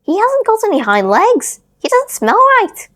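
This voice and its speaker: A woman voicing a scornful, suspicious wild rabbit with a high, loud, mocking voice. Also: wildly swooping pitch, measured pace, heavily acted.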